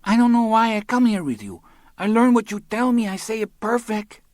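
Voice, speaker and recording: A man's voice: high-pitched, animated, normal speed, darkish tone, no echo, pitched above the performer's own voice. A man voicing a bitter, complaining young woman who speaks broken English, in a dry audiobook reading.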